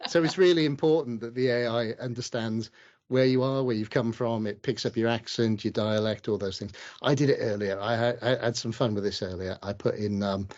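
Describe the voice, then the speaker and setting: A man hosting a podcast interview, a former language teacher who talks in halting, repeated starts and drops in jokes. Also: mid-range voice, fairly even intonation, a little mellow, highs cut off.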